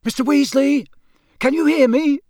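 A male narrator plays an eager teenage boy in a storybook. The voice sits fairly high and sounds earnest, pressing to explain and help. The recording is very dry. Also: very animated, measured pace, a bit bright, no room sound, full character voice. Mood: urgent calling. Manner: urgent, helpful, explaining.

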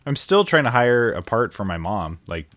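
A man co-hosting an improvised comedy podcast, talking in a hesitant, halting, deadpan way. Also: mid-range voice. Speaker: podcast co-host.

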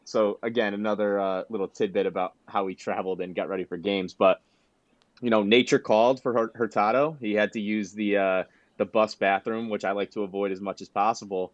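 Low-voiced man telling anecdotes, lo-fi sound. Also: steady tone, fairly quick, dry recording. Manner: rambling anecdotes broken by laughter. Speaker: podcast co-host. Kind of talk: casual chat, storytelling.

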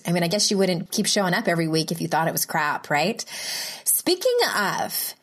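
A woman talks solo into a home podcast microphone, her voice sitting a bit low for a woman. She rambles in a friendly, self-deprecating way, laughing at herself as she goes. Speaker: podcast host. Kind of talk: casual solo podcast monologue.

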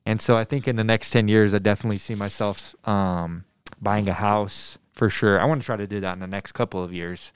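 A man in his twenties from Denver, a middle school math teacher, answering students' questions in a thoughtful, earnest way, pausing often with ums and restarting sentences. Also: lowish voice, fairly even intonation, slight background noise. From Denver, USA. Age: 20s. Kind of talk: casual interview answers to students.

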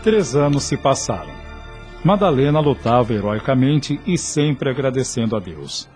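A man narrates a radio soap with solemn formality. He has a mid-range voice and a Brazilian accent, telling the story as scripted drama. Music plays clearly beneath him. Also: mid-range voice, lively intonation, moderate pace, a little mellow. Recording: music bed under the voice.

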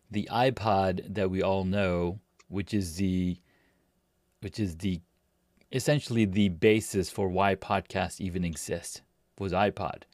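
Low-voiced man telling his story. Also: no room sound, clean studio sound. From Pakistan. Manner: relaxed storytelling with frequent fillers and restarts. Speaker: podcast guest. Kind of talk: casual interview talk.

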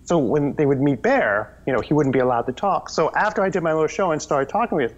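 A man telling stories as the guest in a casual interview, animated and rambling, often restarting his sentences; the audio is slightly dull. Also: on the high side, quick pace. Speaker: guest, children's TV performer.

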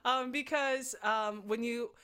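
A woman hosting a casual conversation, warm in her questions, with lively, excited rises and frequent laughter. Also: on the high side, takes it slowly, clean tone. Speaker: host. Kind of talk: casual interview.

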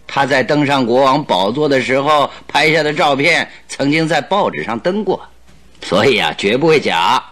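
An actor playing a confident, shrewd detective in a radio drama, with a hearty theatrical delivery. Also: male voice, medium pitch, lively intonation, normal speed, fairly bright tone, lightly acted. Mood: amused. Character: confident, jovial male detective, shrewd and animated. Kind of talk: Mandarin radio drama acting.

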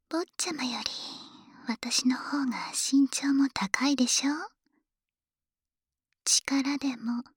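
Woman acting a high-voiced, teasing dragon maid. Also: no echo, clean studio sound. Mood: whispered, dominant. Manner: polite servile speech, gently teasing and coddling. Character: doting, teasingly domineering dragon maid, taller and stronger. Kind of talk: ASMR situation voice drama. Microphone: close microphone, dry.

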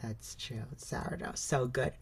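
A man's voice: mid-range voice, flat delivery, slow, a crowd in the background. An easygoing, agreeable man hosting a casual podcast chat. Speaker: podcast host.

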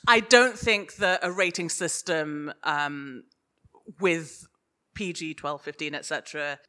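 Bright-voiced British woman, informal and giggly. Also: deep voice, slow pace. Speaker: podcast guest, podcaster and sensitivity reader. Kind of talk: live on-stage interview, casual chat.

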